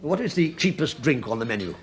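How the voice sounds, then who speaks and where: An actor playing a sly, penny-pinching British police inspector in a radio play, wry and mock-deferential, feigning absent-mindedness. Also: male voice, medium pitch, sing-song, brisk, soft background music, lightly acted. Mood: wry, teasing.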